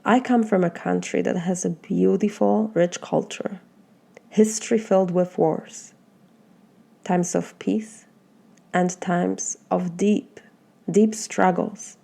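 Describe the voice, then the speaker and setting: A woman with a Polish accent gives a motivational talk as a life coach, in a mellow, darkish voice with a gravelly edge. She speaks slowly and earnestly. Her talk moves through one reflective question after another. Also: fairly low pitch, fairly even intonation. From Poland. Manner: asks many reflective questions, slow and earnest.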